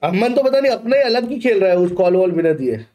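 A man's voice: sits high, sing-song, a little fast, mellow. A man in his thirties with an Indian accent, chatting casually with teammates on a gaming livestream. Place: indoor gaming setup.

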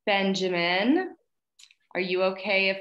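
A woman's voice: mid-range voice, lively intonation, takes it slowly, reverberant, dull audio. A woman in her thirties hosting a student poetry reading over a video call, with an encouraging manner. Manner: encouraging, praising. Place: plain indoor room, on a video call.

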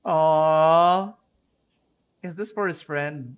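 A woman's voice: sits low, extremely slow, clear, resonant tone, dull audio. A woman giving casual, laid-back playthrough commentary as a gaming video host, in short asides. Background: game music.

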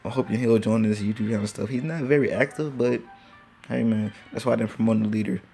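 Teen male gaming host, low and gravelly, laid-back and rambling, phone-recorded with faint background noise. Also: steady tone, fairly quick, warm tone, faint music behind. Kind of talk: casual gameplay commentary. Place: home with train passing outside. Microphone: phone screen recorder.

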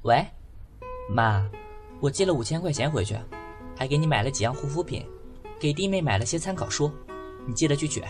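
Young street hustler guy voiced by a woman, blunt, protective, hot-tempered, lowish voice with lively intonation, for audio drama. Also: fairly quick, long pauses, music in the background. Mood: subdued. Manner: emotional, blunt, slangy. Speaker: voice actor.

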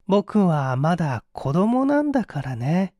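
A man's voice: high-pitched, very animated, slow, warm tone, no echo, studio quality, full character voice. A man voicing a cruel fifteen-year-old boy killer in an audiobook story, boastful, mocking and unrepentant. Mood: gleeful boasting.

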